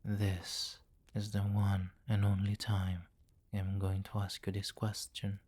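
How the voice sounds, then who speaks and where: A man's voice: on the low side, completely flat delivery, a little mellow, no echo. A man playing a commanding, possessive vampire king in an intimate, teasing ASMR roleplay. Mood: grave, controlling. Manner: slow, teasing, condescending, with murmured endearments.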